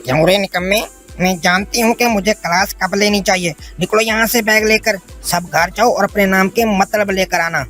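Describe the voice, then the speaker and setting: A woman's voice: medium pitch, normal speed, lightly acted. A woman acting a cruel, scolding schoolteacher. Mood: scornful, angry. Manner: scolding, bossy, hectoring. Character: cruel, scornful, greedy woman schoolteacher who terrorises children. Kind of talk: Hindi cartoon story voice acting. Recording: music behind the voice. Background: faint music.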